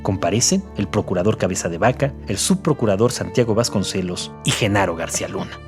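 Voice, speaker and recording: A man with a fairly low voice reads scripted true-crime narration. There is faint music behind him as he tells the case as narrator. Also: lively intonation, a little fast.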